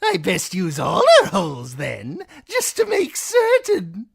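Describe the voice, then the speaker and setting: A man voicing a cruel, lewd male jester who crows and taunts in a shrill sing-song. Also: huge pitch swings, slow pace, gravelly, husky, heavily acted. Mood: leering.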